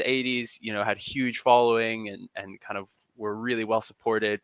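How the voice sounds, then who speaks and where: Low, bright-voiced man chatting as guest. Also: dry recording. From Kenya. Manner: chatty, self-deprecating, informal. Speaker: podcast guest, football journalist. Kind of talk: casual, conversational interview answers.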